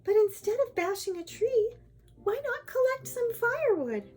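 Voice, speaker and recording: A woman's voice: extremely high pitch, animated, normal speed, soft background music, pitched above the performer's own voice. A woman voicing a sweet, kind and polite young fairy-tale heroine in a storybook reading. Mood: kind, encouraging. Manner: sweet, bright-hearted, earnest.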